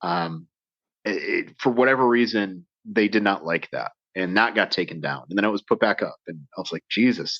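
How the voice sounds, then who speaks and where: A man's voice: on the low side, fairly bright tone, no echo. An American man speaking as a podcast guest, telling his story in a passionate, emphatic way. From United States. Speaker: guest, survival instructor. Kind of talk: casual interview talk. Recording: dull lo-fi sound.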